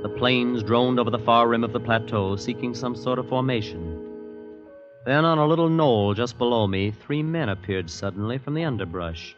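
A man's voice: mid-range voice, moderate pace, soft background music, close to an everyday voice. An actor playing a wary young man who narrates and lives through his own peril in a suspenseful old radio drama. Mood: tense narration. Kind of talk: 1940s radio drama acting, suspenseful first-person narration. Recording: dull vintage radio recording.

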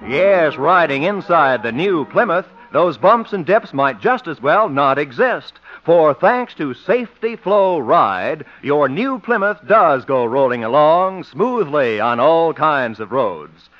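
A man's voice: on the high side, animated, slow pace, few pauses, soft background music. A man announcing in a polished, emphatic, formal 1950s radio style. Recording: dull old radio recording.

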